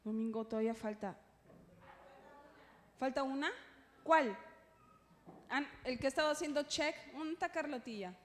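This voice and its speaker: High-voiced Peruvian woman teaching in a hall. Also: moderate pace, long pauses, fairly bright tone. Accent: Peruvian Spanish. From Peru. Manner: conversational, playful asides, frequent checking tags. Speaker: church teacher leading a class for servers. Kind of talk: informal religious teaching. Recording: echoey hall with long reverb.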